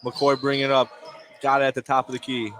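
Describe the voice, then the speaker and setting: A man doing live play-by-play basketball commentary for radio in clipped phrases, lifting into excited calls on big plays. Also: mid-range voice, a little fast. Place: indoor basketball gymnasium. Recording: noisy gym ambience. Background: gym noise, bouncing basketball.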